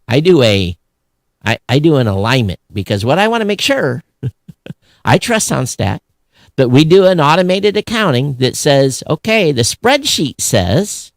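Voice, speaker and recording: A man's voice: on the high side, very animated, measured pace, no room sound, clean studio sound. A man hosting a podcast in casual, emphatic discussion, often voicing both sides of an imagined exchange. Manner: emphatic, acts out imagined dialogue. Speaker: podcast host.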